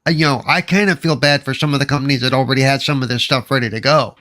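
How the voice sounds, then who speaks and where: Male podcast panelist, mid-pitched with a sharp, bright edge, arguing his point insistently in casual talk. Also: steady tone, very fast. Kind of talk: casual panel debate about video games.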